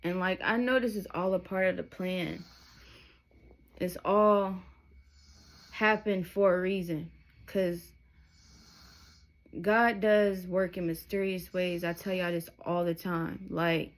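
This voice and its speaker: A woman's voice: on the low side, steady tone, slow, long pauses. A woman of thirty telling her life story to her viewers, candid and emotional, rambling with asides as she goes. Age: 30s. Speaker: vlogger. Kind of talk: personal testimony to camera.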